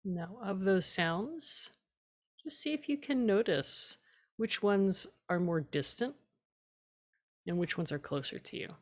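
A woman leading a guided meditation as a teacher, speaking in a calm, unhurried way with gentle instruction and long pauses. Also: medium pitch.

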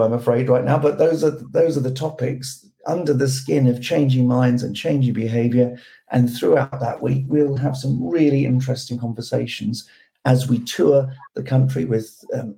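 A man with a hoarse, croaky voice from a cold, talking through a podcast discussion with lots of restarts and rhetorical questions. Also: mid-range voice, mellow. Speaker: road safety campaigner guest.

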